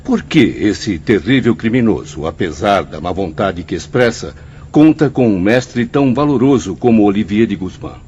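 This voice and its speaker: Male actor as an indignant Brazilian spirit pupil, lowish voice, radio drama, muffled sound. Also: lively intonation, normal speed, darkish tone, close to an everyday voice. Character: indignant male spirit apprentice questioning his master. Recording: dull, faintly noisy audio.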